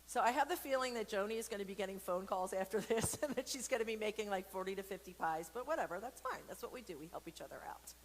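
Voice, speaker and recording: A woman's voice: mid-range voice, fast talker, hardly any pauses. Woman, echoing hall, some background noise, casual children's read-aloud, playful, chatty asides, questions to listeners. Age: adult. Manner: chatty, playful, rambling asides with comic exaggeration; speaks directly to viewers, asking questions. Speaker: host reading a picture book to children during an online church service. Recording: long reverberant hall echo, background noise.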